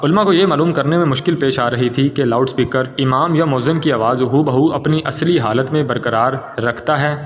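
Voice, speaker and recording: Male narrator for formal documentary storytelling, dull sound drenched in long reverb. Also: mid-range voice.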